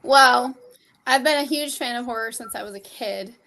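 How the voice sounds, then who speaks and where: A woman's voice: a little high, moderate pace, bright, forceful tone, poor audio. A woman in her thirties, a guest in a casual interview, chatty, laughing often and swearing freely. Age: 30s. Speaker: guest, horror actress and model.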